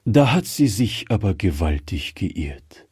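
Man playing a cautious, husky old shopkeeper. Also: deep voice, very animated, a little mellow, no room sound, clean studio sound, exaggerated voice. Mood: remorseful, quiet. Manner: measured, hesitant, muttering. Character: grey-headed old male shopkeeper, cautious, guilt-ridden, gruffly kind. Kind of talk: acted storybook dialogue.

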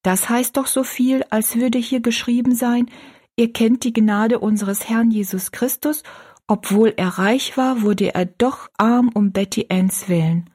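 Woman putting on a young girl voice, earnest, gentle explaining, raised pitch, breathy. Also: steady tone, measured pace. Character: earnest pious little girl, gentle teacher.

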